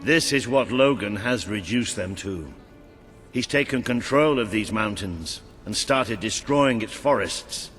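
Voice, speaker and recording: An actor playing a gruff, seasoned British soldier mentor in a video game, speaking bluntly and with command. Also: male voice, fairly low pitch, lively intonation, unhurried, fairly bright tone, big-room sound, faint music behind. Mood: grim, earnest. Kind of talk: video game cutscene acting.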